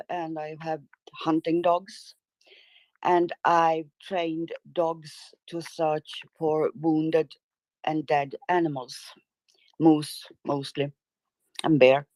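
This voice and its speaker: A middle-aged woman from northern Sweden speaks English with a Swedish accent, slowly and carefully as a second language. Her voice is low for a woman and very unhurried, with hesitant pauses. The sound is dry and close, with no room echo. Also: level tone, mellow. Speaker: caller. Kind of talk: casual chat.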